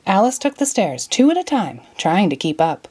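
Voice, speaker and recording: Animated woman reading a storybook. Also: mid-range voice, moderate pace, slightly rough. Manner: expressive. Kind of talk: children's storybook read-aloud, scripted narration.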